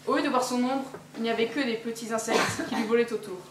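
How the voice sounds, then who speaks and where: A teenage girl narrates a fairy tale in a measured storytelling way, her voice slightly high. She is recorded from far away in an echoing school hall. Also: background noise.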